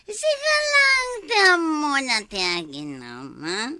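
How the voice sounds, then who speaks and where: A woman's voice: sits high, wildly swooping pitch, extremely slow, background noise, exaggerated voice. A woman playing a tipsy, giggly wife who rambles and half-sings in a slurred drawl in a comic audio drama. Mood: drunk, rambling.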